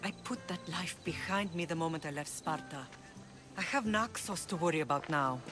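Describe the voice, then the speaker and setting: An actress playing a middle-aged mother who leads a Greek island, speaking in a measured, earnest, formal way in a dramatic game scene. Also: low-pitched, close to an everyday voice. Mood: weary warmth. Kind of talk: dramatic game cutscene acting. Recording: music behind the voice.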